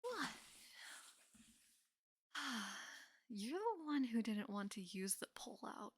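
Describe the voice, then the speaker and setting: A young woman playing a teasing, affectionate college best friend in an intimate roleplay audio, speaking softly and often slipping into whispers. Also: fairly high pitch, sing-song, very slow, husky, no room sound, clean studio sound, heavily acted. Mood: teasing, sleepy. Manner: soft, often dropping into whispers, sighing. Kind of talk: intimate roleplay audio acting, casual and soft.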